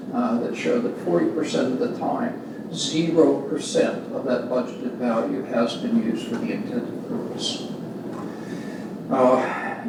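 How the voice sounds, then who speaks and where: An older man giving public comment at a city council hearing, halting and full of fillers as he reads out budget figures. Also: mid-range voice, vocal fry. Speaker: citizen speaking at public hearing. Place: city council chamber, large room. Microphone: podium mic from far camera. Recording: echoey hall, distant, noisy.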